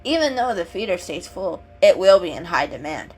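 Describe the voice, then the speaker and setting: A woman's voice: fairly low pitch, big pitch swings, normal speed, darkish tone, slightly rough. A woman voicing a friendly, frank young male robin with a chirpy, emphatic delivery in a dramatic storybook reading. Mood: earnest. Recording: music behind the reading.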